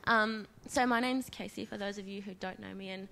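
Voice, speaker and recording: Young woman, mid-pitch, croaky, casual storyteller. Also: steady tone, fairly quick, bright, forceful tone, slight background noise. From Australia. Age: young adult. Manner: casual, personal storytelling with many ums. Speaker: panellist, dance school director. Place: conference hall.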